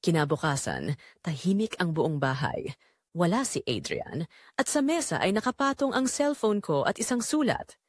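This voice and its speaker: Expressive Filipino woman narrating, very dry recording. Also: fairly low pitch, normal speed, no room sound. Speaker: audiobook narrator. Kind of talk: scripted dramatic first-person story narration.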